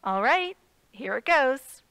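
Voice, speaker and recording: Actress as spirited female correspondent, higher voice. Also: sing-song, takes it slowly, a bit bright, clean tone, no echo, full character voice. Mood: helpful. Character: spirited woman radio correspondent, playful and earnest. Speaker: radio correspondent. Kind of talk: audio drama, phone-in report.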